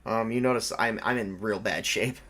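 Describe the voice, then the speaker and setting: A man speaking casually and haltingly, with some swearing, as he narrates and plays an improvised tabletop horror game as game master. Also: fairly low pitch, bright, forceful tone.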